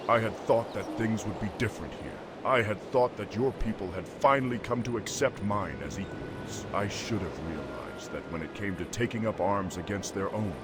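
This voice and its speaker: Man as a deep, grave orc war chief. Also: animated, moderate pace, hardly any pauses, very noisy, lightly acted. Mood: reproachful. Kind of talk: dramatic fantasy game dialogue.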